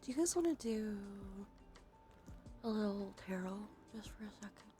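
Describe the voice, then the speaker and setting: A woman streamer chatting softly in an ASMR stream, often dropping into a whisper. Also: medium pitch, expressive, normal speed. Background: music.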